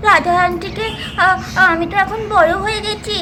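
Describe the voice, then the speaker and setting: A woman's voice: very high voice, fairly even intonation, moderate pace, noisy background. A woman voicing a proud, naughty small child doll in a children's cartoon, babbling childishly to itself. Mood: proud, determined.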